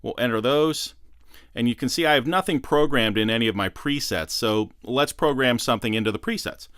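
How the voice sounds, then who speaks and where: Man with a clear, smooth demonstrator voice. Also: mid-range voice, a little fast, a bit bright, no room sound, studio quality. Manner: methodical step-by-step explaining. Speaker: product demonstrator. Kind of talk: instructional how-to narration.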